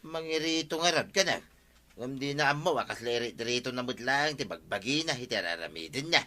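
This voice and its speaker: A man's voice: medium pitch, expressive, fairly quick, sharp tone. A man with an Ilocano accent playing a gossipy, familiar village buddy in a radio comedy-drama. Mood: gossiping.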